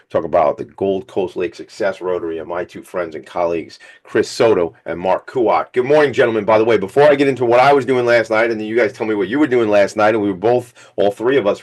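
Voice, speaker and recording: Male radio host, low voice gone a little scratchy, high energy, rambling hype-man chat. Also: fast talker, few pauses, a little mellow. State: slightly hoarse, scratchy voice. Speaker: radio talk show host.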